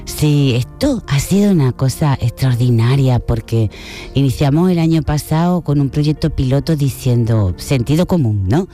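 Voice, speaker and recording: A woman's voice: extremely low pitch, animated, normal speed, warm tone. A woman from Cádiz province with an Argentine-tinged Spanish accent, giving a radio interview as a festival director in an enthusiastic, chatty way. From Cádiz province, Spain. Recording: music playing behind.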